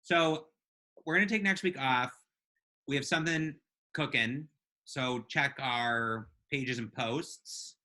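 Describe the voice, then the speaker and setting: A man co-hosting a casual live podcast, easygoing and a bit halting as he talks. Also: medium pitch, sharp tone. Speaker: podcast co-host.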